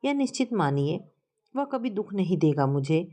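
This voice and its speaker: A woman's voice: on the low side, very animated, moderate pace, warm tone. A woman voicing a sincere, trusting young woman in a narrated story. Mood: sincere, assured. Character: young woman sincerely vouching for her suitor.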